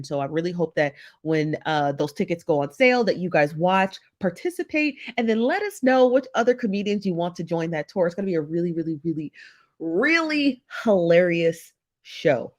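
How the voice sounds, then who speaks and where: A woman with a head cold hosting a casual livestream talk show, chatty, saying y'all and laughing often as she reads viewer comments. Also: fairly low pitch. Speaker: host of a gossip talk show.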